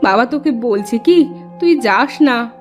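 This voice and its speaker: A woman plays a loving village mother in a cartoon story. Her voice is high, tender and anxious, pleading as to a child. There is faint music behind her. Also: animated, a little slow. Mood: worried. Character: worried, loving village mother of a young boy.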